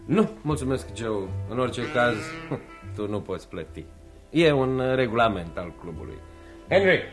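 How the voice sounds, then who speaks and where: A man's voice: mid-range voice, very animated, over music. An actor playing a breezy, smug upper-class clubman in a Romanian radio play, chummy and patronising. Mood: breezy, teasing. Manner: jovial, patronising. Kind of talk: Romanian radio theatre acting.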